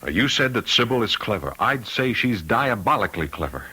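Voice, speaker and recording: A very low-voiced, protective man in a vintage radio mystery. He is earnest and insistent, with a bright, tense edge and a husky rasp. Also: big pitch swings, fairly quick, heavily acted, rough recording. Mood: grave, urgent. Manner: insistent, emphatic. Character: earnest, urgent, protective male confidant. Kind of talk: old-time radio mystery acting.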